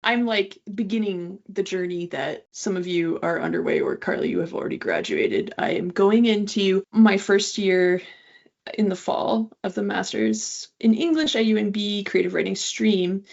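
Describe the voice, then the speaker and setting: Woman w lots of vocal fry, mid pitch, informal thoughtful chat, slightly muffled audio. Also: moderate pace. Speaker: writer, incoming master's student in creative writing. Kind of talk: casual panel conversation. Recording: dull lo-fi audio.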